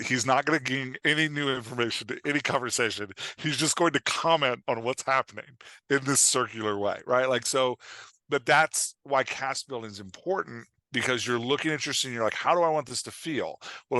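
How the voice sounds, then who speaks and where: A man talking as a coach and podcast guest, explaining things in an informal, animated way with acted-out example remarks. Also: mid-range voice, a little fast, no room sound. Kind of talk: casual explanatory podcast interview.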